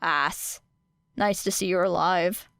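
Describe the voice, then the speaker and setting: Woman doing a low, deadpan teasing teen boy. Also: slow pace, creaky, clear, resonant tone, no room sound, heavily acted. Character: dry sardonic teenage boy hero student. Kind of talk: audiobook character reading.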